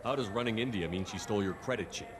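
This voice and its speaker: Game casting: male actor as an assertive, no-nonsense investigator, fast and fairly deep, over noticeable ambience. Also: noisy background, natural voice. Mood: inquiring. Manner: direct, firm questioning. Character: assertive male military investigator hero, calm and direct.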